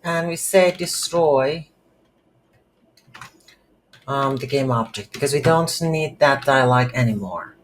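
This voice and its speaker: A tutorial instructor thinking aloud in a halting, unscripted way while walking through a screen-recorded coding lesson. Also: medium pitch. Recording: light background noise.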